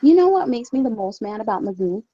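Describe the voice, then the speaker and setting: A woman's voice: fairly high pitch, sing-song, fast talker, mellow, dry recording, dull audio. A woman with an Upper Midwest American accent, co-hosting a livestream in candid, sweary casual chat. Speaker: co-host of a livestream.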